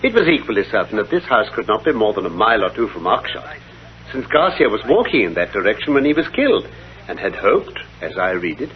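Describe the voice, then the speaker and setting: A man playing a self-assured British gentleman detective in a vintage radio drama, speaking in precise, formal explanations. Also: lowish voice, very animated, a little fast. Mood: reasoning. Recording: dull, lo-fi vintage radio recording.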